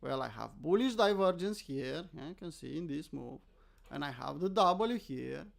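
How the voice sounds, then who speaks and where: A man's voice: fairly high pitch, expressive, normal speed, gravelly. A man from Romania with a Romanian accent, hosting casual live trading commentary in an informal, self-deprecating way, often asking and answering his own questions. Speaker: host, crypto trader.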